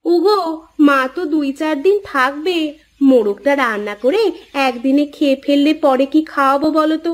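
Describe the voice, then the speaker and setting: A woman playing a shrewd, thrifty village wife in a cartoon, speaking in a sweetly coaxing, expressive way. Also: sits high, normal speed, close to an everyday voice. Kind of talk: cartoon story acting.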